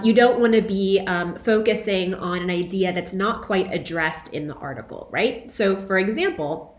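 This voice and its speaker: A young woman instructor, hoarse from being sick, giving recorded feedback to her students in a conversational way with frequent fillers, speaking into a webcam in a small room at home. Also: lowish voice, roomy sound. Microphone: webcam, video call framing.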